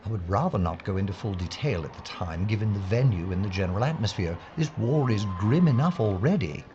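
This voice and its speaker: A man's voice: on the low side, animated, a little mellow, lightly acted. A man playing a polite but dogged Scotland Yard inspector in an audio drama, speaking formally. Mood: polite, insistent. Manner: formal, polite, deliberate wording. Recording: dull sound with faint background noise.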